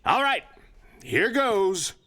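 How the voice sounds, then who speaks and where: An actor playing a good-natured, slightly bumbling forest ranger, hesitant and comically expressive, in an audio adventure drama. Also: male voice, sits high, slow, full character voice. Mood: amused, flattered.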